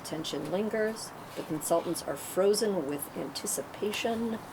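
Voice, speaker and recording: A woman's voice: medium pitch, measured pace, over a noisy crowd. A woman reading stage directions as scripted narration at a table read. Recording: clearly noisy room recording.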